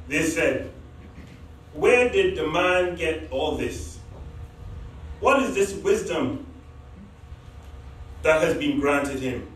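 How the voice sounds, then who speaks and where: A man reads the gospel in a slow, careful cadence, his voice slightly high. He is captured from a distance, with the long echo of a church and faint background hiss. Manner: measured, deliberate reading. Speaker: deacon reading the gospel. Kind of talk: liturgical gospel reading. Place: large church hall. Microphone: far camera across the church. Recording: echoey church from far off.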